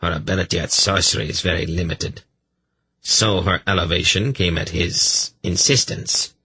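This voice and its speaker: A dark, venomous temple priest, played by a man reading a fantasy audiobook, muttering in a low, very husky voice. He sounds bitter and conspiratorial. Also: fairly even intonation, normal speed, dry recording, exaggerated voice, low-quality recording.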